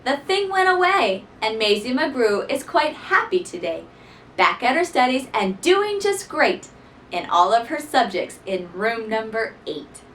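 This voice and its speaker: A woman reading a rhyming children's story aloud in an expressive, theatrical style. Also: on the high side, a little slow, a little hiss or hum. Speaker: storybook reader. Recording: voice somewhat distant from mic.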